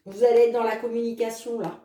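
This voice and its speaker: A woman's voice: on the high side, little pitch movement, warm tone, recorded from a distance. Chatty woman reading cards in a roomy space. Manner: chatty, fills with "hein" and "les amis"; reads card messages slowly. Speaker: tarot card reader. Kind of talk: casual card reading to viewers.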